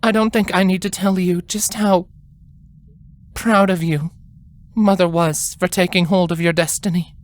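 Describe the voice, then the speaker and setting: A man voicing a caring but stern older sister, a pony princess, speaking softly and earnestly in a fan fiction story. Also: high-pitched, fairly even intonation, voice pushed up. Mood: kind, firm, sad. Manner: soft-spoken, deliberate, earnest. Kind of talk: fan fiction character reading.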